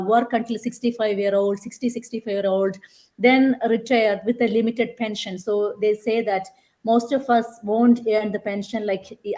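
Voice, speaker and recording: A woman's voice: a little high, dark tone. A woman hosting a live online interview, chatty with many fillers and restarts. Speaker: host.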